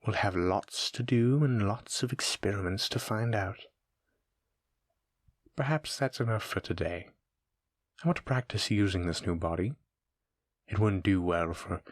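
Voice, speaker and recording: Casting: male actor for a sadistic, playful monster in horror audio, lowish voice, close dry sound, taunting and musing with slow menace. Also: no room sound. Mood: gloating. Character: sadistic playful male shape-shifting monster, sinuous and many-legged. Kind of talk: horror audio roleplay addressed to the listener.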